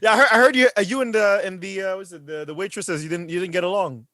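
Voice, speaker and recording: Quick-talking podcast host guy, slightly high voice, dry sound, laughs a lot. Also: steady tone, bright, forceful tone, no echo. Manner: quick, switches between French and English, laughs often. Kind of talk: casual chat.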